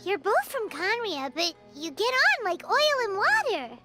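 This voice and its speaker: A woman voicing a small, childlike fairy companion in an anime game, squeaky, curious and excitable, with music behind. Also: measured pace, heavily acted. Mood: suspicious.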